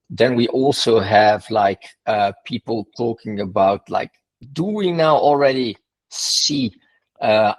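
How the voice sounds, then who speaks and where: A man's voice: mid-range voice, husky, rough recording. A man, the event's moderator, talking loosely and casually, with many "like" fillers. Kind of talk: casual chat.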